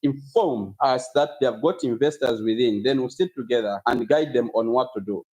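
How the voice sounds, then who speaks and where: A man's voice: mid-range voice, normal speed, dark tone, rough recording. A man, a community representative, giving a plain, slightly hesitant unscripted comment for a news report. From Zambia. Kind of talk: news soundbite.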